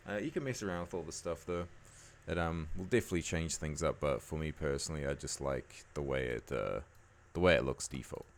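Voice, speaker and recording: Croaky, very deep-voiced laid-back man. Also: brisk, few pauses. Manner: laid-back, many "like" and "um" fillers. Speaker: video editing tutor. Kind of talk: casual screen-recording tutorial voice-over.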